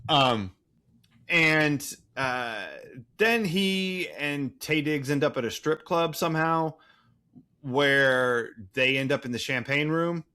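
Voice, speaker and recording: A man's voice: fairly high pitch, slow pace, bright, forceful tone, smooth. A man co-hosting a podcast, casually recapping a movie in a rambling, irreverent, profane way with wry, flippant asides. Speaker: podcast co-host.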